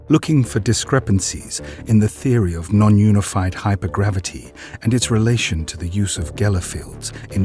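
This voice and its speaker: A man narrating a scripted drama in a grave, deliberate storytelling manner. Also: fairly low pitch, darkish tone.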